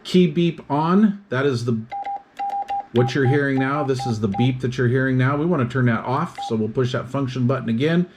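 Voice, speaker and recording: A man's voice: mid-range voice, normal speed, background noise. A man giving an explanatory product demonstration, methodically listing features in short phrases as a reviewer.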